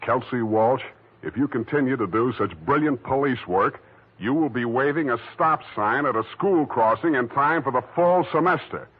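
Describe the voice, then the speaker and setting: An actor playing a cynical, wisecracking private detective with an American accent, narrating in 1940s radio drama style. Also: male voice, medium pitch, moderate pace, husky, lightly acted. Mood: biting sarcasm. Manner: sardonic, deadpan wisecracks. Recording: muffled vintage radio recording, loud music.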